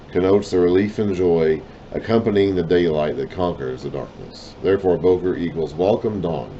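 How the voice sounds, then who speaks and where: A man reading and explaining a Bible lesson in a deliberate way, his voice trailing off at the ends of phrases, with self-conscious apologetic asides. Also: low-pitched, dark tone, muffled recording, a little hiss or hum. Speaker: Bible teacher.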